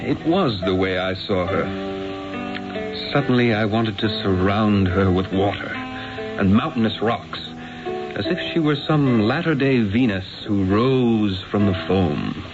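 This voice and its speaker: Rapturous master painter, man, slightly low voice, lively intonation, theatrical, obsessed, radio drama, dull old recording. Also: a little slow, over music. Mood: musing, enthralled. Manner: theatrical, musing. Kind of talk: radio drama acting and reflective first-person narration.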